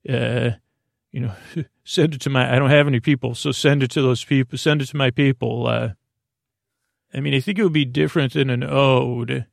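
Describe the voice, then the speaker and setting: A man with a creaky voice hosting a sleep podcast, giving a halting, meandering, absurd monologue that keeps trailing off and restarting. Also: mid-range voice, fairly even intonation, no echo, studio quality. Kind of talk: rambling, absurd bedtime monologue.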